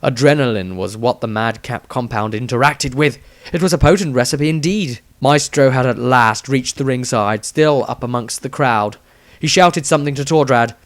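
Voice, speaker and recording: A man's voice: medium pitch, normal speed, no echo. A man reading a scripted fantasy adventure aloud as a storyteller, with dramatic emphasis. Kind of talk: scripted audiobook narration.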